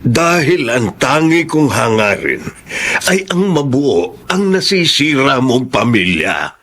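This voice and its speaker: A man playing an earnest peacemaker in a radio drama, with a deliberate, admonishing manner. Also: mid-range voice, big pitch swings, a bit bright, full character voice. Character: earnest, reproving peacemaker urging forgiveness. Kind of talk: Filipino radio drama acting.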